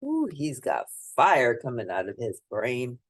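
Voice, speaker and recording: Woman reading a kids book out loud, slow and really swoopy, emphatic storyteller. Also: very deep voice, wildly swooping pitch. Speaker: children's book reader and host. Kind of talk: expressive read-aloud of a picture book with asides to young listeners.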